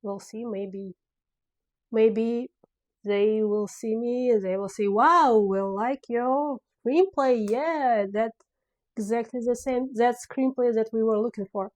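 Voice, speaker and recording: A woman's voice: a little high, takes it slowly, darkish tone. A woman with a Russian accent, a non-native English speaker, talking through her filmmaking plans in a casual video diary, hesitant and searching for words. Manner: hesitant, many fillers and restarts, searching for words. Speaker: filmmaker vlogger.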